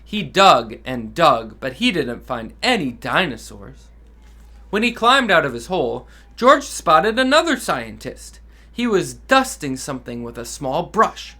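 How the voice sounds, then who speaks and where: A man, a dad, reading a children's picture book aloud with enthusiastic, expressive delivery and asides to kids. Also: on the high side, a little slow. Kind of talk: children's read-aloud storytelling.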